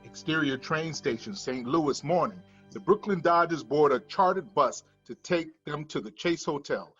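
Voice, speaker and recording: A man's voice: on the high side, lively intonation, moderate pace, a bit bright, slightly rough, lo-fi sound, soft background music. A man reading screenplay stage directions as scripted narration.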